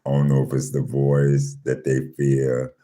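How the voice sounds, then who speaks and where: A man with a very deep voice hosting a live chat room, calmly greeting listeners one by one and talking in a style. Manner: slow, calm, repeats himself, reads names off a screen.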